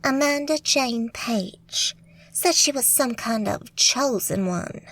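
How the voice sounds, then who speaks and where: A woman's voice: a little high, animated, slow, fairly bright tone, heavily acted. A woman putting on a coy Southern witch, Angel. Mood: coy flirtation. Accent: put-on Southern US drawl. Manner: coy, sugary, fake-sweet.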